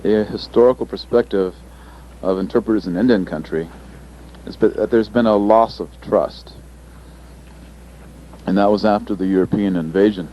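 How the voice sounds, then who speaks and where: A man with a fairly low voice speaks thoughtfully, pausing often with small fillers as he presents. The recording is dull and lo-fi, with a faint hum of room ambience underneath. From United States. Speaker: interpreter presenter.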